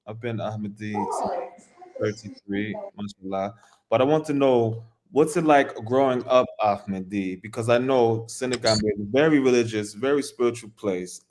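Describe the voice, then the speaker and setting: A man hosts a casual interview. His voice sits at an ordinary middle pitch, and he asks loose, rambling questions while working out his wording as he speaks. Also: moderate pace, a little mellow, slight background noise. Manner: rambling, searching for words when asking.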